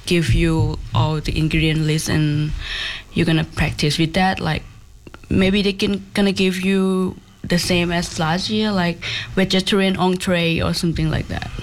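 A man, a chef and Thai native speaker, answering radio interview questions in halting English. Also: high voice, little pitch movement, rough recording. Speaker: chef guest.

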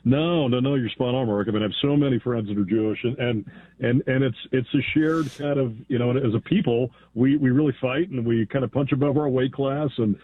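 A man from the United States calling into a radio show, earnest and halting, repeating words as he speaks. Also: medium pitch, slight background noise. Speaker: caller. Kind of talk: phone-in call. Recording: phone line.

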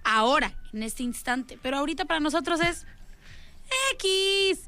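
A young Mexican woman with a Mexican accent, co-hosting a casual radio talk show, quick and expressive, laughing often. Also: sits high, dry recording. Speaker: radio co-host.

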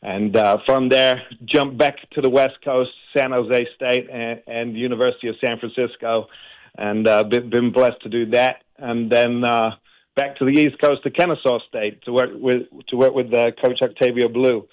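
Coach, flat even pitch, earnest guest on a radio call. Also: male voice, moderate pace, poor audio. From England. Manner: grateful, earnest, flowing storytelling. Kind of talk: radio interview answers.

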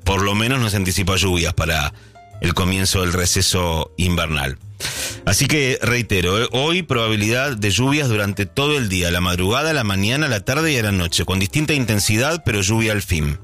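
A man's voice: fairly low pitch, animated, moderate pace, a bit bright, faint music behind. A middle-aged man with a Rioplatense Spanish accent hosting a casual early-morning radio show, chatting and thinking aloud with many fillers and restarts. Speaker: radio host.

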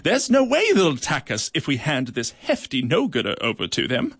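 A man's voice: on the high side, huge pitch swings, moderate pace, fairly bright tone, breathy edge, no echo, exaggerated voice. A man voicing a bossy female ant queen who barks orders at her colony. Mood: crowing. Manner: barking, crowing orders.

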